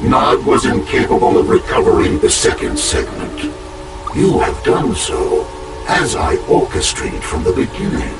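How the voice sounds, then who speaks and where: A man playing a cold, imperious rogue artificial intelligence with contempt for humans, deep and gravelly through a robotic effect, in a video game cutscene. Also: huge pitch swings, recorded from a distance, heavy background noise, heavily acted. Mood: menacing, gloating. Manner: imperious, deliberate, theatrical. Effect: robotic processed voice.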